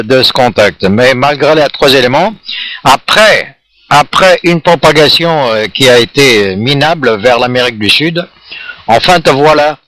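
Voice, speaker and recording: A man's voice: medium pitch, a bit bright, no echo. Chatty man with a dry, close sound. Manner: friendly, chatty. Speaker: amateur radio operator. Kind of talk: casual radio contact chat.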